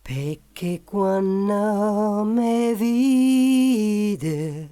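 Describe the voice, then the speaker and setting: A woman's voice: mid-range voice, expressive, very slow, mellow, soft background music. A woman singing in a recorded demo vocal sample.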